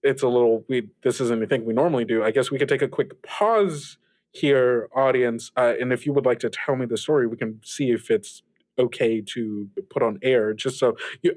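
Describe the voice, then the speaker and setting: A man's voice: medium pitch, normal speed, a little mellow, lightly acted. A man playing an affable, flustered radio show host in an improvised interview, stammering and awkwardly polite, with a curious manner.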